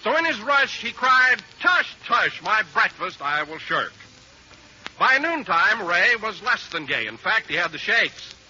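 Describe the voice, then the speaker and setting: A man with a very bright, high voice delivers a folksy radio ad with swooping intonation. The sound is an old 1940s broadcast with a dull band. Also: sing-song, a little slow. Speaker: commercial announcer. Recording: band-limited old radio with faint noise.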